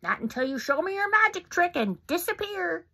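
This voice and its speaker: A woman's voice: extremely high pitch, very animated, normal speed, pushed and bright, voice pushed up, full character voice. A woman voicing a sneering male muskrat bully in a storybook read-aloud.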